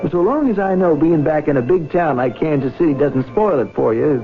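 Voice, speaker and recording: A man's voice: on the high side, expressive, fairly quick. An actor playing a shy, earnest young country man, hesitant and folksy, in a 1970s radio drama. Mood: affectionate, earnest. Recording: tinny 1970s radio broadcast, faint music.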